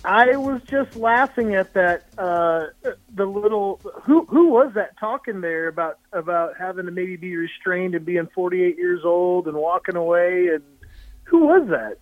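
A man near fifty chats about sports as a radio call-in guest. His voice sits a bit high and comes through a thin, dry phone line. He rambles, circling back and repeating phrases as he builds a point. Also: expressive, unhurried, dry recording. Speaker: radio guest, college football reporter. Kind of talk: casual sports talk.

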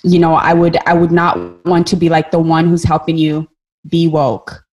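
A woman's voice: sits low, normal speed, darkish tone. A woman who grew up in Kenya talks frankly on a video call. She thinks aloud and sometimes stops to search for the right word. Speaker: discussion participant. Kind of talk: candid group video-call discussion.

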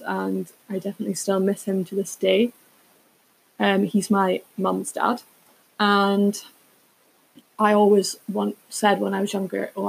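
Young woman, raspy and creaky, casual podcast chat, rambling, full of self-aware asides. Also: mid-range voice, poor audio. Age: 20s. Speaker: podcast host. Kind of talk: casual chatty solo podcast.

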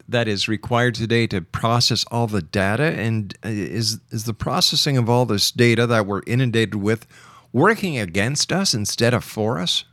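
Animated middle-aged male radio host. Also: mid-range voice, no echo, studio quality. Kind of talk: casual radio interview chat.

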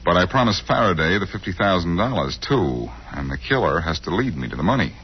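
An actor playing a cocky, quick-witted amateur sleuth who needles people, in 1940s radio style on a muffled old recording. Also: male voice, sits low, big pitch swings, heavy background noise. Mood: confident. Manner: smooth-talking, sardonic, teasing.

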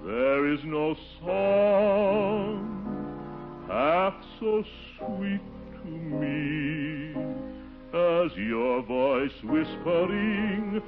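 An actor playing a pompous, flustered middle-aged bachelor in a 1940s radio comedy, with a booming, chuckling voice. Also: male voice, animated, drawn-out words, over music. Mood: crooning. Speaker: lead character. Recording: old 1940s radio broadcast, muffled, hissy.

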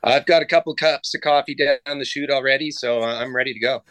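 A man chats as a podcast guest over a video call from a quiet room in a house. His voice is bright and forceful, and the sound is close and dry, with his talk full of filler words. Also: medium pitch, fairly even intonation, a little fast, no echo. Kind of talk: casual sports podcast chat.

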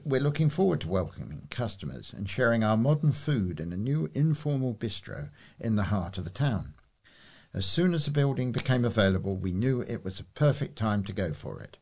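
A man reading local news aloud, a little halting over names. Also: lowish voice, darkish tone, slightly rough. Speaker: volunteer news reader.

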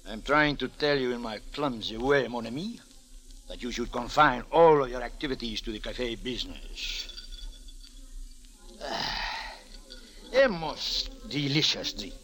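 Fat suave Frenchman, French accent, oily mock-polite menace, bright forceful tone, lively swooping pitch, vintage radio drama. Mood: veiled warning. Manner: oily, drawn-out, mock-polite, savoring his words. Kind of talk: 1940s radio drama acting. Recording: vintage 1940s radio broadcast.

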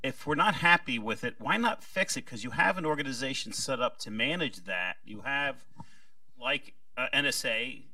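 A man on a policy panel with a booming voice, asking pointed rhetorical questions. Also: bright, forceful tone, no room sound, recorded from a distance, low-quality recording.

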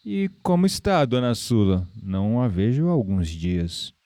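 A calm, reflective Brazilian man of the countryside in an audio series, acted by a man. His voice is low and very mellow, with a gently teasing, spiritual manner. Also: measured pace, smooth. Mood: intrigued. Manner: gently playful, reflective, philosophical. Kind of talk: audio drama acting.

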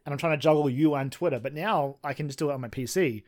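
A man's voice: on the high side, fast, darkish tone. A young man co-hosting a casual gaming podcast, rambling and self-deprecating, laughing often. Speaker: podcast co-host.

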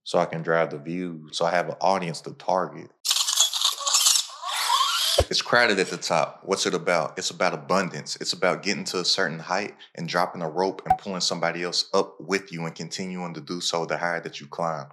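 A man hosting a podcast in an energetic, informal, motivational way. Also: fairly quick, a little hiss or hum. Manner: energetic, informal, pitches his own program. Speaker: podcast host. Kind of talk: casual motivational podcast talk.

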